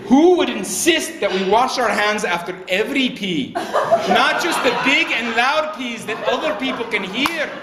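A man's voice: very high voice, expressive, measured pace. A middle-aged man reading a comic toast aloud to a live audience, projecting theatrically. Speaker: toast giver. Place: large indoor banquet room. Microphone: camera a few steps away. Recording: echoey noisy hall with loud crowd. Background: laughing crowd, room noise.

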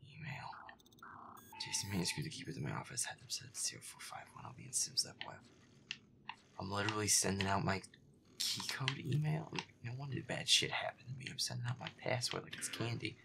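A man's voice: fairly low pitch, a little fast, creaky, in a whisper. A man playing a feisty, foul-mouthed werewolf boyfriend who mutters sarcastic commentary while gaming. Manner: sarcastic, profane, muttering to himself. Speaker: gamer playing a scary game. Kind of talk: roleplay audio gameplay commentary, muttering.

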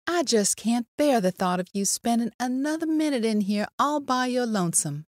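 Actress as coy western flirt, sing-song and animated, a touch high. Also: female voice, normal speed, dry recording, clean studio sound, natural voice. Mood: coy, flirtatious. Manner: sing-song calls, coy lingering delivery. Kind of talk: audio drama acting.

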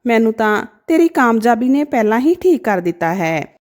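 A kindly, frail old priest and father from an emotional storybook tale, acted out by a woman narrator. The voice is rather high, with animated rises and falls. He speaks gently, as one giving a blessing. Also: moderate pace. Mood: resigned, tender.

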